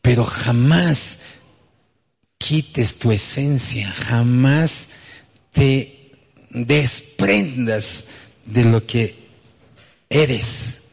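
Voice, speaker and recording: A man's voice: mid-range voice, expressive, extremely slow, vocal fry, pauses often, slightly rough. A man preaching as pastor to his church, speaking conversationally and often ending thoughts with a questioning tag. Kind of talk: sermon. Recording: long hall reverb.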